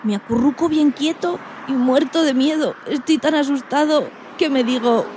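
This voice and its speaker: A woman's voice: high voice, normal speed, slight background noise, close to an everyday voice. A woman playing an indignant, timid male guinea pig who tells his story expressively in a children's radio play. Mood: terrified. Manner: expressive, exclamatory, plaintive.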